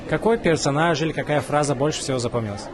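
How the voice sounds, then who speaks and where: A man's voice: on the high side, quick pace. A man hosting a vlog, cheerfully greeting viewers and interviewing people at a film screening. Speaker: vlog host reporting from a cartoon screening. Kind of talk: upbeat on-the-street reporting and interviews. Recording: crowd noise all around. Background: crowd chatter.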